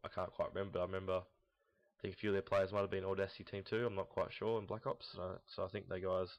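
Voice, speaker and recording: A young man giving casual, unscripted esports commentary in a rambling, halting, blunt way, recorded in lo-fi sound. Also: low voice, flat delivery. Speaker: host of a gaming team audio log. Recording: dull lo-fi, roomy echo, mouse clicks.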